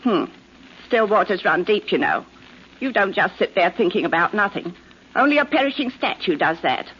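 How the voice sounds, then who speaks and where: An actress playing a teasing, nagging London housewife with chatty, colloquial talk, in 1940s radio drama style. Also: female voice, medium pitch, sing-song, normal speed, a bit bright. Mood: idle, teasing. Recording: dull, noisy 1940s radio recording.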